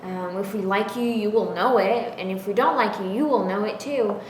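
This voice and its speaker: A woman's voice: mid-range voice, moderate pace. A young woman, a student, talking frankly in a casual interview. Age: young adult. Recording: echoey room with faint noise.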